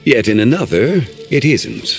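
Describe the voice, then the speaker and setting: An actor voicing an ancient, calm and scholarly earth god in a video game, speaking in formal, measured, archaic phrases. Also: male voice, mid-range voice, soft background music, lightly acted. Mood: calm, solemn. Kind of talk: dramatic video game dialogue.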